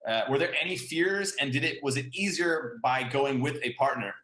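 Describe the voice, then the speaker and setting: A man hosting a podcast interview in a relaxed, conversational way, with hesitant fillers. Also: mid-range voice, moderate pace. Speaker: podcast host. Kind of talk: casual interview. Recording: echoey room.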